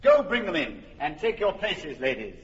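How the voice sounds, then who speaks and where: A genial ruler in a classical stage comedy, with a fairly high, lively voice. He is grand, amused and used to command. The sound is slightly dull, in a reverberant hall. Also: normal speed, very noisy. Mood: amused, gracious. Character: genial, commanding duke presiding over his court. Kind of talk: classical stage verse acting. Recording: muffled hall recording.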